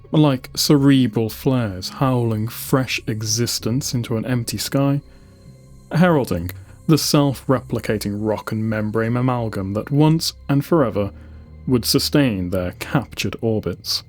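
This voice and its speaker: A man giving a solemn, deliberate audiobook narration of science-fiction lore, with dramatic pauses between short phrases. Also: medium pitch, darkish tone. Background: music.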